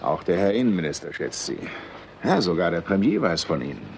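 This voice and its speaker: A man's voice: low voice, very animated, a little fast, creaky, heavily acted. A man playing an evasive senior state secretary in a 1960s radio drama, officious and insistent, sometimes hesitant as he starts. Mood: confidential, officious. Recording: noisy old radio recording.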